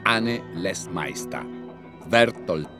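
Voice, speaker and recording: A man's voice: sits low, lively intonation, slow, gravelly, over music. A man reading the title and cast of a radio drama as a scripted announcement.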